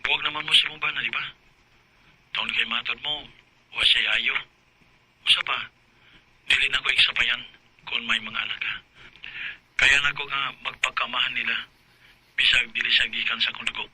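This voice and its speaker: A man's voice: medium pitch, steady tone, moderate pace, pauses often, sharp tone, husky, poor audio. A man with a Cebuano Filipino accent acting an earnest, persistent suitor and old schoolmate in an audio drama. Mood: insistent, sincere. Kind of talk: radio drama dialogue.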